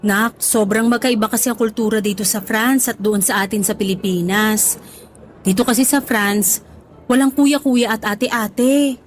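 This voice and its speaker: A woman playing a high-voiced, soothing Filipino mother. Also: moderate pace, slight background noise, close to an everyday voice. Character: affectionate, soothing Filipino mother of grown sons. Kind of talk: radio drama dialogue acting.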